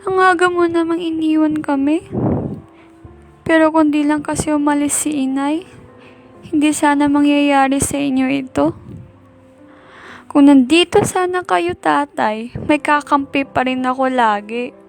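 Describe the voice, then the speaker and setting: A woman's voice: sits high, fairly even intonation, a little slow, pauses often. A woman playing an aggrieved, emotional teenage daughter in an amateur radio drama. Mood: grieving, longing. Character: aggrieved teenage eldest daughter, grieving and defensive. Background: background music.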